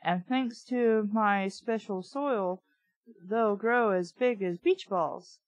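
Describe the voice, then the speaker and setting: Woman as squabbling young boy in a storybook, excitable and cocky, close dry sound. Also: medium pitch, slow pace, no room sound, close to an everyday voice, low-quality recording. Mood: boastful. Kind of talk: storybook read-aloud.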